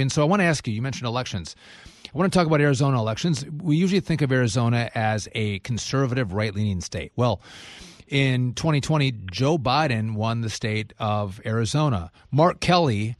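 Male radio host, dry studio sound, brisk leading questions, mid pitch. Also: expressive, no room sound. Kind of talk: radio talk show interviewing.

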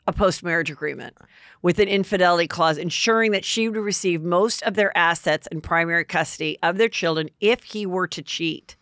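A middle-aged woman hosting a podcast, chatting casually about celebrity gossip with quick asides and plenty of fillers. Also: lowish voice, a bit bright, dry recording. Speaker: podcast host.

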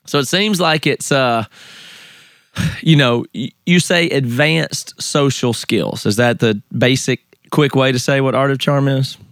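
Brief: male podcast host, Southern US accent, mid pitch, dry close mic, relaxed and chatty. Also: no echo. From American South. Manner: relaxed, laughs easily, halting restarts. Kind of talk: casual interview chat.

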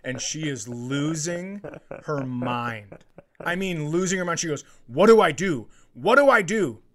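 A man's voice: fairly high pitch, expressive, unhurried. Middle-aged man telling a crude comic anecdote. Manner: crude, swearing, acts out dialogue. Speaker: podcast host, comedian. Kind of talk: casual comedic anecdote telling.

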